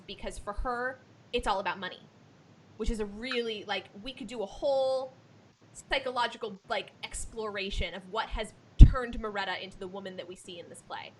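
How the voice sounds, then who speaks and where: A woman who works as a theatre director, talking casually in a discussion in an emphatic, expressive way, repeating words for stress. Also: low-quality recording. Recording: clear background noise with low rumble.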